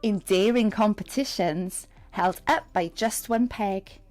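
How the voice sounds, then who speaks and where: A woman reading a picture book aloud to young children, expressive and speaking straight to her listeners. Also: medium pitch, slow. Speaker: storyteller and teacher reading to children. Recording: music under the voice. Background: music and sound effects.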